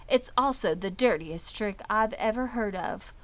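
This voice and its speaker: A woman voicing a calm, motherly female spider in a children's storybook, brisk, firm and no-nonsense. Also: medium pitch, lightly acted. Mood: thoughtful. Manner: measured, no-nonsense. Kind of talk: children's storybook character reading.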